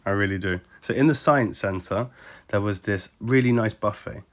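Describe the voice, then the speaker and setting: Dry-humored British man, low voice. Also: normal speed. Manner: dry, self-mocking humor. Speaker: travel vlogger. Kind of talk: travel vlog voice-over.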